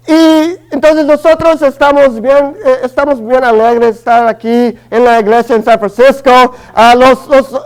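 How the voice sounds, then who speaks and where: A man in his early thirties preaches with a Chicano accent, his voice sitting very high for a man. He talks hesitantly, filling pauses and restarting his sentences as he goes. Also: clear, resonant tone, rough recording. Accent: Chicano Spanish. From Los Angeles, family from Guatemala. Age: early 30s. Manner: hesitant, many fillers and restarts. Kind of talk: Spanish-language sermon.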